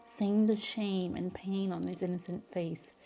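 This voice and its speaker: A woman's voice: fairly low pitch, fairly even intonation, measured pace, gravelly, dark tone, faint music behind, rough recording. A woman narrating fan fiction dramatically, stumbling over some words as she reads.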